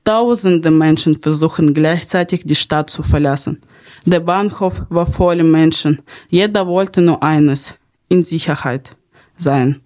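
A woman's voice: sits low, measured pace, a little mellow. Young Ukrainian-accented woman hosting a podcast. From Kharkiv, Ukraine. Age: young adult. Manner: non-native German with small grammar slips. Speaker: podcast host. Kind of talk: podcast narration of personal experience.

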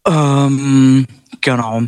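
A male singer speaking his native German in an interview, thoughtful and a little halting as he searches for words. His voice sits at an ordinary middle pitch, recorded dry and close with hardly any room sound. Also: fairly even intonation, a little mellow, clean tone, no echo. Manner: reflective, hesitant, self-deprecating. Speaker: band singer and lyricist. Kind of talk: casual interview talk.

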